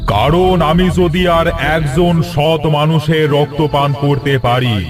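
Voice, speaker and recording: Male actor as bloodthirsty ancient talking tree, raised pitch, dramatic threatening delivery, cartoon fairy tale, prominent music. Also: fairly even intonation, slow pace. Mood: menacing. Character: menacing bloodthirsty old talking mango tree monster.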